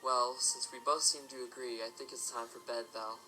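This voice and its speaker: Man playing a firm, forceful stallion father. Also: mid-range voice, steady tone, normal speed, soft background music, low-quality recording. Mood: calm, resolute. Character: protective stallion father, firm, stern, principled. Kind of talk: audio drama acting.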